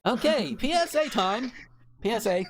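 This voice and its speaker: Bright-voiced woman hosting with lively swings. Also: fairly high pitch, moderate pace. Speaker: podcast host. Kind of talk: casual chat.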